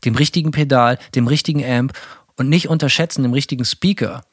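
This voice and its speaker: A man's voice: medium pitch, a little fast, no room sound, studio quality. A man co-hosting a casual podcast chat, rambling with many fillers and restarts. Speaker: podcast co-host.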